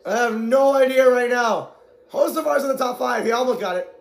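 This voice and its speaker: Excitable amateur male race caller, high voice, hoarse from shouting, echoey room. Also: fairly quick. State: voice going hoarse from strain. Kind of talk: excited live play-by-play commentary.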